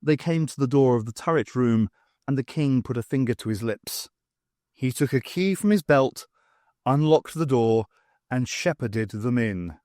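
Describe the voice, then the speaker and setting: A man reading an audiobook as narrator in a steady storytelling manner. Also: medium pitch, moderate pace, dry recording, clean studio sound. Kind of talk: scripted narration.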